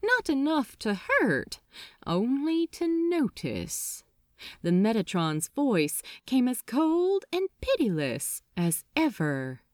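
A woman reading fiction aloud as a dramatic audiobook narration, with an expressive, theatrical delivery. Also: on the high side, takes it slowly, no room sound, studio quality. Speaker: fan fiction reader.

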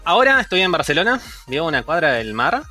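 A playful Argentine man in his twenties talks in a loose, rambling way, teasing as he goes. His voice is bright and sharp, and his pitch swings widely. Faint music sits behind him. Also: sing-song, quick pace. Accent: Argentine Spanish. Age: 20s. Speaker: language teacher. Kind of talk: casual group chat.

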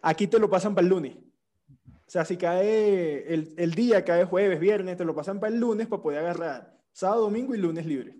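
Young Venezuelan man, casual co-host, slightly high voice, relaxed slangy delivery. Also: moderate pace. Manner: relaxed storytelling with slang and restarts. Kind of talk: casual chat.

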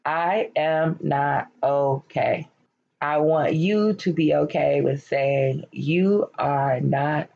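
A woman in her late thirties hosting a podcast, talking candidly and encouragingly about her life, her voice hoarse and cracking as she loses it. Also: deep voice, dark tone. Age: late 30s. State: losing her voice, slightly cracking. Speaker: podcast host. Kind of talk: personal reflective monologue. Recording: lo-fi, dull recording.

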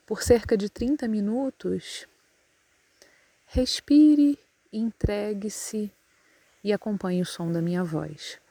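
Slow, mellow Brazilian woman guiding meditation. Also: medium pitch, husky, dry recording. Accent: Brazilian Portuguese. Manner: calm, soothing, unhurried instructions with long pauses. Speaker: yoga nidra instructor. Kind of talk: guided meditation.

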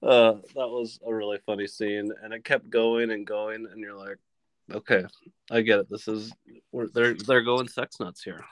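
A man's voice: lowish voice, little pitch movement, moderate pace, a bit bright, dry recording, poor audio. A man chatting on a movie podcast, rambling and laughing as he talks. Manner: rambling, laughs while talking. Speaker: podcast guest. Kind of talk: casual movie podcast chat.

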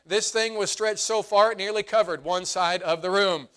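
A man preaching a sermon as pastor, his voice hoarse and fading, earnest, slowing down for emphasis. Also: high voice, a bit bright. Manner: earnest preaching, slows and drops to emphasize points.

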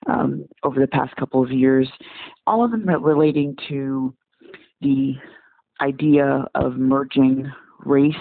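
A man's voice: medium pitch, gravelly, dry recording. A man in his forties from Congo, a physician guest giving earnest interview answers that repeat words while he searches for phrasing. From Democratic Republic of Congo. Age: 40s. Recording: dry, tinny phone-like call line.

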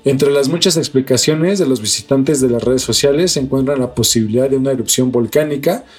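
A man's voice: medium pitch, fairly quick, a little mellow, slightly rough. A man with a Mexican accent co-hosts a podcast with music playing behind him. He moves between reading news items and chatting casually. His talk is full of street slang and swearing. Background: background music.

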